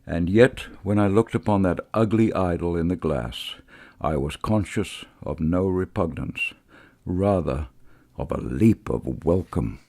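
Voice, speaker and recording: A man voicing a brooding Victorian gentleman doctor in an audiobook, confessing with formal, deliberate reflection. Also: low-pitched, animated, exaggerated voice. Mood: grave, confessional.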